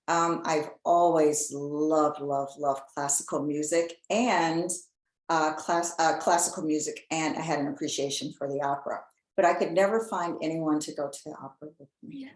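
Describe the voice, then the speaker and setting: Lower-voiced woman hosting a podcast. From Chicago area. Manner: lively storyteller, laughs often. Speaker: podcast host. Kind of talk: casual interview chat. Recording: echoey room.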